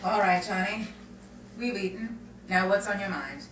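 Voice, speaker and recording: A woman's voice: mid-range voice, moderate pace, a bit bright, close to an everyday voice. Woman acting a wry girlfriend, distant and echoey. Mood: wry, teasing. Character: wry, level-headed girlfriend working as a bank clerk. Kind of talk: vintage 1950s radio drama acting. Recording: echoey distant mic, noisy with crowd.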